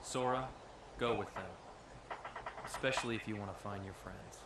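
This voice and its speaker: A man's voice: fairly low pitch, normal speed, fairly bright tone, a little hiss or hum, natural voice. A male actor playing a stoic, serious young warrior in a video game, captured as distant game audio.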